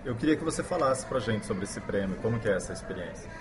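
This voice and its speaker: A man with a Brazilian Portuguese accent hosting a relaxed interview, asking friendly questions. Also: on the low side, fast, over music. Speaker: host. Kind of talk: casual interview. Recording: noisy background.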